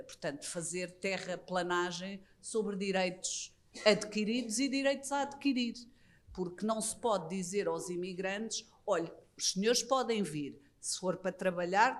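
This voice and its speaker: A Portuguese woman with a European Portuguese accent, an immigration lawyer speaking on a conference panel. She talks earnestly and critically, often restarting her phrases. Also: mid-range voice. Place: embassy conference room.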